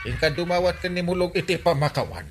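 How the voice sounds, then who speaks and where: A man playing an excitable, folksy village captain in a radio comedy-drama, with an Ilocano accent and exclamatory, hesitant interjections. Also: sits high, fast, soft background music. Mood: excited urging.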